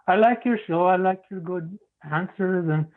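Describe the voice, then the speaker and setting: A man's voice: high voice, normal speed. A male caller on a call-in show, talking hesitantly and padding every phrase with "you know". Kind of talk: call-in phone chat. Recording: tinny phone line.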